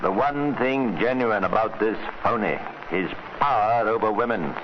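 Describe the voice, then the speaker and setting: An actor playing a wry, knowing narrator in a vintage radio drama, confiding in the listener. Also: male voice, lowish voice, normal speed, some chatter behind, close to an everyday voice. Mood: wry, relishing. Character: wry, knowing male storyteller addressing the listener. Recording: muffled, noisy old radio recording.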